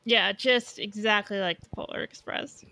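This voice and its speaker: A woman's voice: mid-range voice, normal speed, creaky, bright, forceful tone, dry recording. Blunt woman chatting, dry close sound. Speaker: podcast co-host. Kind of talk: casual film discussion.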